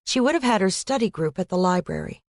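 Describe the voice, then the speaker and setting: A woman's voice: medium pitch, animated, quick pace, dry recording, clean studio sound, voice pushed up, natural voice. A woman voicing a young female college student who is anxious and pleading about her missing roommate. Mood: worried.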